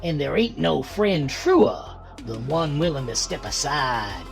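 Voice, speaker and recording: A man's voice: on the high side, sing-song, unhurried, creaky, exaggerated voice. A man playing a folksy, sly and menacing uncle who hosts a horror show with theatrical relish. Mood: gleeful, sly menace. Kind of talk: in-character horror anthology hosting. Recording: music underneath.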